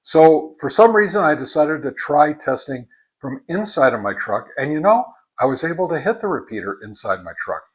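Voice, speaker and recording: A man giving a casual spoken product review in a halting, deliberate way, repeating words for emphasis as a hobbyist reviewer. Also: on the high side, sing-song, slightly rough.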